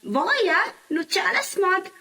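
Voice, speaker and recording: A woman's voice: very high voice, animated, fairly quick, bright, forceful tone, roomy sound, voice pushed up, heavily acted. A woman voicing an outspoken, put-upon young daughter-in-law with an emphatic, girlish delivery in a moral-story cartoon. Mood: eager, impressed.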